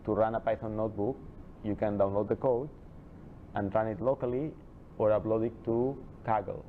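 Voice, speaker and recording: Chatty low-voiced man lecturing a class. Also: steady tone, slight background noise. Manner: chatty, digressive, with halting restarts. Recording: dull lo-fi classroom recording.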